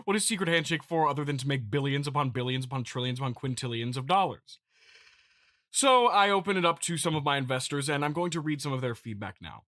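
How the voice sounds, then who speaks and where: Male comedy host, bright, forceful voice in a dry recording; plays a petty, self-important company boss, rambling through an improvised address. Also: mid-range voice, animated, no echo. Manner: mock-pompous, halting and rambling with repeated phrases. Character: smug, petty billionaire CEO boasting to investors. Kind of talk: improvised comedic monologue, mock-corporate address.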